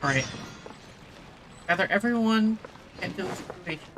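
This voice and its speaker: A man streaming a video game, informally reading dialogue aloud and thinking out loud as he plays. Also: high voice, animated, normal speed, noisy background.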